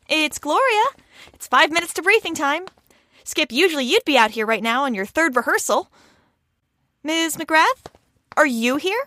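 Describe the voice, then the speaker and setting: Woman as a bright, high-voiced flustered agent. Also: no echo, full character voice. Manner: expressive, hesitant, exasperated. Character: flustered, chatty, polite young female agent. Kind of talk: comedy audio drama.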